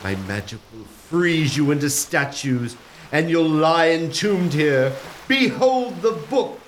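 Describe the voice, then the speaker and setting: A tormented phantom sea captain, played by a man, speaks in a high, theatrical voice. He declaims slowly and possessively, as the villain of a gothic comedy audio drama. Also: lively intonation, slight background noise. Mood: doomful, commanding.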